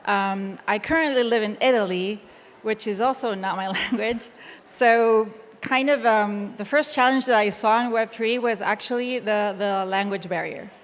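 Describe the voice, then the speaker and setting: A woman's voice: mid-range voice, moderate pace. A woman from Chile with a Chilean accent, a native Spanish speaker, answering on a panel and searching a little for words. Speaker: panelist, Web3 educator. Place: summit event room. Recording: echoey hall with long reverb.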